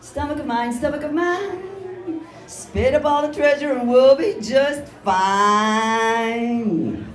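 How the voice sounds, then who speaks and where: A woman voicing a frantic male turkey who sings and gobbles in a squeaky sing-song. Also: huge pitch swings, fast, reverberant, faint music behind.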